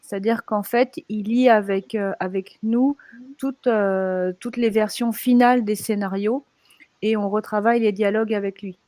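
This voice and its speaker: A woman's voice: medium pitch, fairly even intonation, normal speed, warm tone, dry recording. A woman from animation production explaining her working process during a panel discussion.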